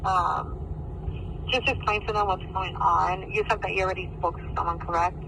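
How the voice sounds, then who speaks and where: A man's voice: high-pitched, fairly even intonation, normal speed, creaky. A man working as a sheriff's call taker, asking procedural questions in a businesslike way over the phone. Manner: businesslike, checking details. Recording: noisy phone line with vehicle sounds.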